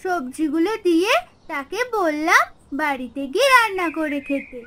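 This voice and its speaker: A woman's voice: very high voice, animated, normal speed, fairly bright tone. A woman voicing a kind, caring little village girl in a fairy-tale cartoon, earnest and coaxing. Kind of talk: animated fairy-tale dialogue. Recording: music behind the voice.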